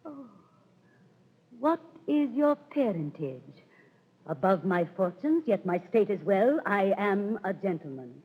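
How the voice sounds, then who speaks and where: A woman's voice: mid-range voice, big pitch swings, slow, breathy edge, exaggerated voice. A woman acting a lovelorn young noble figure in a declamatory 1930s radio Shakespeare style. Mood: smitten, agitated. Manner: theatrical, declamatory. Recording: dull vintage 1930s radio recording.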